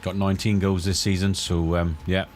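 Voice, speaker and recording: A man's voice: low voice, flat delivery, moderate pace, slight background noise. A middle-aged or older man chatting casually as a co-host, with brief, hesitant, understated replies. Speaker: co-host, the host's father. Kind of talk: casual chat.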